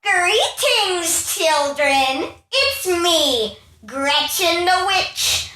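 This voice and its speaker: A woman playing a theatrical witch who hosts a spooky radio show for children, with a drawn-out singsong delivery. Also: very high-pitched, big pitch swings, pushed and bright, exaggerated voice. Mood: gleefully spooky. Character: Gretchen the Witch, theatrical spooky female witch horror host. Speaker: host. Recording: roomy, slightly distant microphone.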